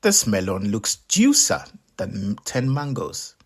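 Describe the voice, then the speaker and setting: A man's voice: fairly low pitch, very animated, slow, breathy edge, full character voice. A man voicing a sly, greedy, easily exasperated male trickster spider in a children's story, scheming and exclamatory.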